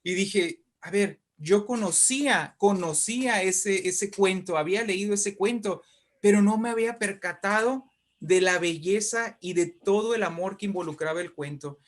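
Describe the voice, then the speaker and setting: A man with a fairly high voice speaks as an interview guest. He is a writer telling literary anecdotes conversationally, effusive and emphatic, often repeating his words for weight. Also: moderate pace.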